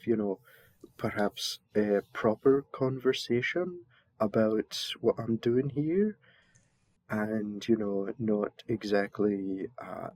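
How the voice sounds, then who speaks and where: A man's voice: on the low side, a little mellow, no room sound, low-quality recording. A man playing a flustered, polite envoy mistaken for a servant, speaking hesitantly with stammers and deference in a roleplay audio drama.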